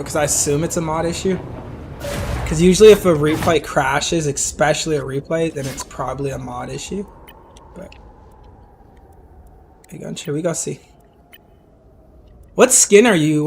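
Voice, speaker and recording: A man casually commenting on game replays for his live stream viewers, hoarse from losing his voice and talking straight to chat with lots of asides. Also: on the high side, a little hiss or hum. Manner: chatty, talks to chat directly, many asides and restarts.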